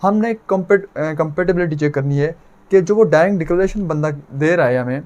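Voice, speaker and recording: Higher-pitched Pakistani man lecturing. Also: moderate pace, a little mellow, dull audio. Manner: didactic, asks rhetorical questions and answers them; repeats phrases for emphasis. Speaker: law lecturer. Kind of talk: explanatory lecture mixing in English legal terms.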